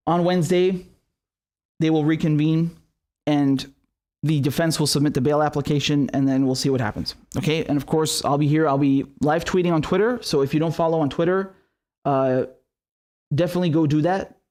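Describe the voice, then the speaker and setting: A young man from Syria hosting a live political commentary stream, ranting passionately and swearing between quick asides. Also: on the high side, steady tone, a little mellow.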